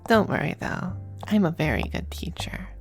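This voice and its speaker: A woman voicing a suave, possessive demon femboy in an ASMR roleplay, with genteel, teasing endearments and a breathy voice that dips into whispers. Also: sits low, huge pitch swings, moderate pace, soft background music, full character voice. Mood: reassuring, suave. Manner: genteel, languid, commanding endearments.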